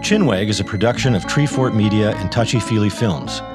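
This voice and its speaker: Male announcer, scripted credits read, lowish-mid voice, clear guitar music behind. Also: brisk.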